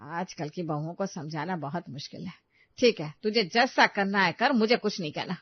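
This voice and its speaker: A woman's voice: fairly low pitch, normal speed, breathy edge, dry recording. Lady doing stubborn older mother in law for a cartoon, scolding, dry muffled sound. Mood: scolding, exasperated.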